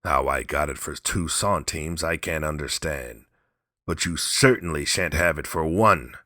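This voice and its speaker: A man acts out a drunken old rogue from a storybook, greedy and threatening. He is gruff and blunt, with a low voice and a defiant edge, recorded close and dry. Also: unhurried, sharp tone, no echo, full character voice. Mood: defiant, loud.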